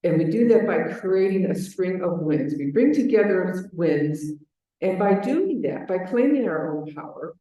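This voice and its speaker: A woman from Chicago with a booming voice, giving an earnest conference talk on advocacy and repeating her key phrases for emphasis. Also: animated, unhurried, mellow. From Chicago, US Midwest. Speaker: advocacy program manager, conference speaker. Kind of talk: presentation to a conference audience. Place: large echoey conference room. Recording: very echoey hall, voice far from mic.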